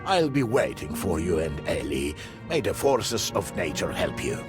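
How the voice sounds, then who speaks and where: An actor playing a kindly forest dweller in a storybook game, with an unhurried delivery. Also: male voice, low voice, very animated. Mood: solemn, kindly. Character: kindly forest dweller who helps a young hero. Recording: music under the voice.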